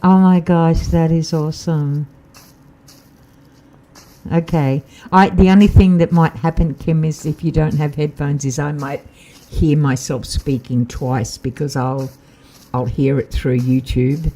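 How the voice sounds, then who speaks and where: A man with a slightly croaky voice, chatting casually and thinking aloud while he live-streams a game. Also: a little high, level tone, measured pace, mellow, rough recording.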